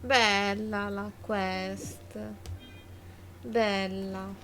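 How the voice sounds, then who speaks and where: A woman's voice: medium pitch, level tone, drawn-out words, fairly bright tone. A woman with an Italian accent giving chatty, reactive live commentary as a game streamer, with music behind her.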